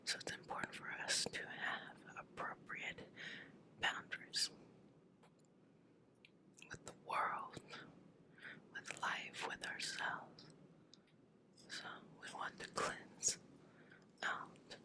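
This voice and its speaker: Slow whispering ASMR woman. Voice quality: breathy unvoiced whisper. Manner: soothing, repeating short phrases slowly. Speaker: ASMR reiki energy healer. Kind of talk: whispered ASMR healing session.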